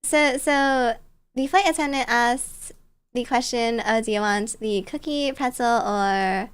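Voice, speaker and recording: A woman with a high voice chats casually on a livestream, telling stories as she goes. Her delivery is bubbly and playful, with a cutesy touch. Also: measured pace, clear, resonant tone. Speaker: streamer. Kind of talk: casual storytelling chat on a livestream.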